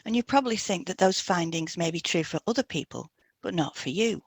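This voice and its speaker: Husky woman, slightly low-pitched. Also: animated, brisk, no room sound, studio quality. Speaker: podcast co-host and hypnotherapist. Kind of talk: scripted educational narration, read aloud.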